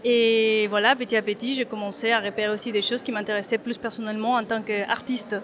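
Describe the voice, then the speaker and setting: A hesitant woman from Mexico with a somewhat high voice answers an interviewer's questions about her work. She restarts her sentences often and fills pauses with "uh" as she searches for words. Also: level tone, fairly bright tone. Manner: hesitant, many fillers and restarts. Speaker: photographer. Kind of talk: interview at an exhibition opening.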